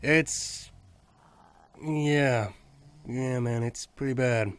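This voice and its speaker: A man's voice: medium pitch, extremely slow, clean tone. A man playing a sarcastic, bantering young survivor of a zombie apocalypse in a fan audio drama. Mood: teasing.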